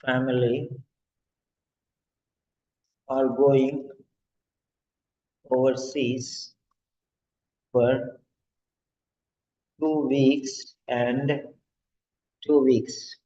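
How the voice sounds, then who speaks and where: A man voicing a frail ninety-year-old client who is moving to a retirement home, said in slow, halting phrases. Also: medium pitch, little pitch movement, mellow, lo-fi sound, slight background noise.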